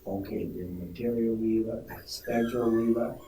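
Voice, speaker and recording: A man's voice: mid-range voice, fairly even intonation, measured pace, dark tone, distant mic, slight background noise, rough recording. A man clowning around between takes in a voice recording session, dropping into whispers and silly voices. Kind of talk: studio outtakes, joking between takes.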